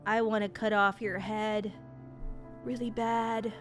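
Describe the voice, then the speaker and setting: A young woman streamer giving casual game reaction commentary in an expressive, sarcastic, slangy and sweary way. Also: mid-range voice, slow pace, soft background music. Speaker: VTuber streamer.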